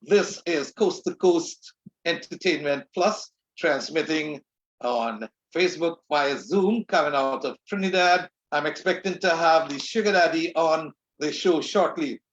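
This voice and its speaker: A courteous man hosts the show with a formal, polished delivery. His voice is a touch high and sounds roomy and dull over a video call. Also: measured pace. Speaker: host. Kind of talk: online show hosting and sponsor reads. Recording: echoey, dull online audio.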